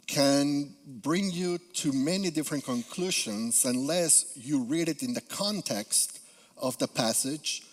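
A man from Peru with a Spanish accent delivers a church sermon at an unhurried, deliberate pace. His middle-pitched voice keeps stopping on little "uh" pauses as he works through his thoughts. A long reverb tail from the sanctuary follows every phrase. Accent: Spanish-accented English. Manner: halting with frequent "uh" fillers, earnest. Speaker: guest preacher.

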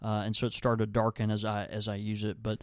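A man's voice: fairly low pitch, monotone, fast talker, no echo, clean studio sound. A young man co-hosting a podcast, talking in an easygoing, rambling way with lots of fillers. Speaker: podcast co-host and tobacco shop worker. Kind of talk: casual chat.

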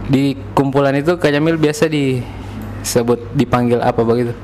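A man's voice: mid-range voice, fairly even intonation, moderate pace, a little mellow. Casual Gorontalo podcast interviewer, male, some noise. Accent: Gorontalo Indonesian. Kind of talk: casual chat, informal questions. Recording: noticeable room noise and ambience.

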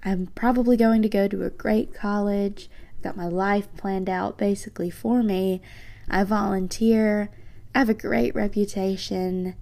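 A teenage girl hosting a personal podcast, speaking softly and reflectively with a low voice, reading from her journal. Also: fairly even intonation, measured pace, creaky. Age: teens. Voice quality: low voice for a woman. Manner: soft-spoken, reflective, slightly hesitant. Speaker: podcast host.

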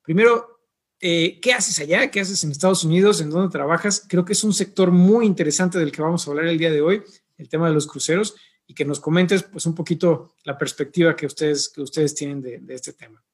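A man hosting an interview, talking in an unhurried, hesitant way with many pauses. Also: fairly high pitch, husky. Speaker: podcast host.

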